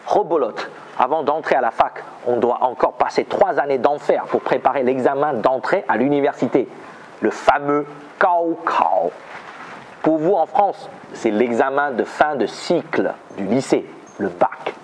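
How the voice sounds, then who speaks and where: A man's voice: medium pitch, expressive, a little slow, a little mellow, slight background noise. A man from China, a native Chinese speaker, presenting and interviewing in a scripted style. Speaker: host and interviewer.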